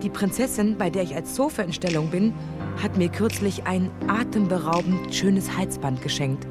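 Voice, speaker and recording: Eine Schauspielerin spielt in einem Hörspiel eine unverblümte, selbstbezogene Zofe, die sachlich und abweisend erzählt. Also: female voice, lowish voice, moderate pace, close to an everyday voice. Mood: dismissive. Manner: matter-of-fact, dismissive. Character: blunt, self-centred lady's maid telling her own troubles. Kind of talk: radio play acting. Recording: loud music behind the voice.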